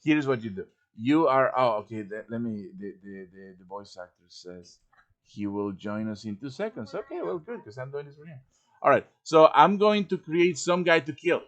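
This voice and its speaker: A man's voice: mid-range voice, animated, normal speed. A man hosting an informal livestream tutorial, chatty and explaining things step by step with frequent check-in questions. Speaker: host and instructor of a livestream tutorial. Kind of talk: informal live software tutorial.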